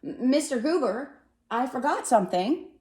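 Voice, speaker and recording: Kind, lonely storybook gentleman, played by a woman, polite and hesitant, pitched up a little, echoey room. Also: very animated, moderate pace. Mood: calling out.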